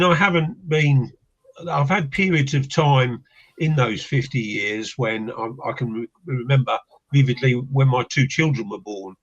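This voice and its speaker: An older man, a paranormal investigator from England, talking as a guest in a casual chat, reflective and hesitant with many restarts. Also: medium pitch. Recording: dull lo-fi line.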